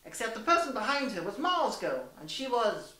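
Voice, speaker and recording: A young woman giving a deliberate, theatrical dramatised reading of fan fiction, with emphatic character dialogue. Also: fairly high pitch, very animated, sharp tone. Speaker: reader and host in costume. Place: small plain room indoors. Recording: roomy echo, distant camera microphone.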